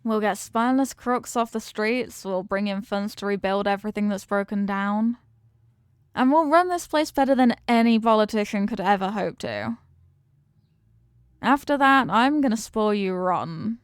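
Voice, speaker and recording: A woman's voice: mid-range voice, lively intonation, normal speed, clear, resonant tone, dry recording, clean studio sound, natural voice. A woman playing a confident mafia boss girlfriend in an intimate romantic audio roleplay, talking tough but tenderly with affectionate slang. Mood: devoted, promising. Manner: affectionate tough talk with slangy pet names.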